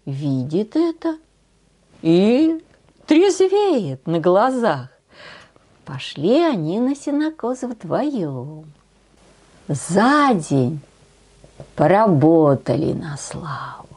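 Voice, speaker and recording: Slow-paced, lively older woman storyteller. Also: on the low side. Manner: unhurried, folksy, with diminutives. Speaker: storyteller on a channel. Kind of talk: expressive folk-tale storytelling. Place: small decorated room indoors.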